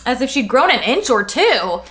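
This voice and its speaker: A woman's voice: a little high, sing-song, moderate pace, fairly bright tone. A woman co-hosting a comedic book read-along, moving between reading passages and playful, sarcastic riffs with acted-out quotes. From United States, lived in Washington State. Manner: playful, sarcastic, acts out quotes in mock voices. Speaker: podcast co-host.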